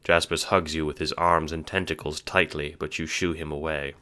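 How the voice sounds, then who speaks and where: Low-voiced man narrating an audio drama. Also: level tone, moderate pace, bright, forceful tone. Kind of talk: scripted second-person storybook narration.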